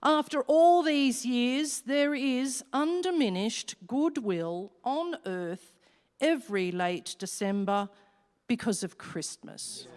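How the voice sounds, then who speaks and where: A woman playing a wicked female devil who chairs a meeting, formal and declamatory, in a rhymed radio play. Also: a little high. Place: large hall, live stage performance. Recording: reverberant hall recording.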